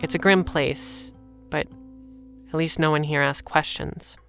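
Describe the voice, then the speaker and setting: A woman's voice: low-pitched, fairly even intonation, measured pace, gravelly, fairly bright tone, faint music behind, heavily acted. An actress playing a jaded, guarded young woman in a noir audio drama, speaking in a dry, weary, restrained way. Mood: weary, bleak. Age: young adult. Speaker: lead character and narrator in an audio drama.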